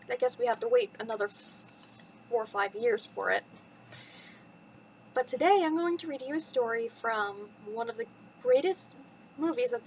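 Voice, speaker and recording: High-voiced young woman hosting storytime. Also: slight background noise, poor audio. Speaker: host reading bedtime stories to children. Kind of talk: cheerful storytime talk and expressive story reading.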